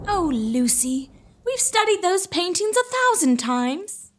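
An actress playing a naive, petulant, jittery young sweetheart in a gothic comedy audio drama. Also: female voice, extremely high pitch, very animated, takes it slowly, a little hiss or hum, heavily acted. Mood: pensive.

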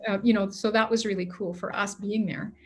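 A woman's voice: medium pitch, flat delivery, fairly quick, a little mellow. A woman telling a travel story in casual conversation, rambling, with many fillers and restarts. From Canada.

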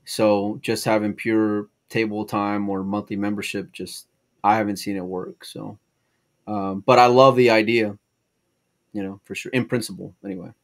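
A man's voice: lowish voice, flat delivery, normal speed. Joking man cohosting a stream. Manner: joking, teasing banter. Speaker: co-host. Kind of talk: casual livestream chat.

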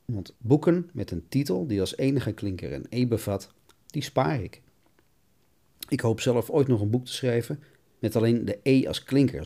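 A man's voice: fairly low pitch, dark tone. A man hosting a podcast, reading scripted narration in a wry, tongue-in-cheek way. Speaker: podcast host.

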